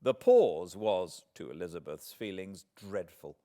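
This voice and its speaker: A man's voice: sits low, animated, unhurried, warm tone. A man with a British accent giving a dramatic literary narration as an audiobook reader. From Britain.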